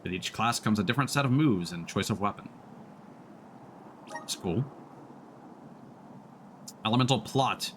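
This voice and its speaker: Low-voiced man streaming a game. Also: animated, unhurried, long pauses, a bit bright, a little hiss or hum. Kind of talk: casual let's play commentary and reading tutorials aloud. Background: faint game music.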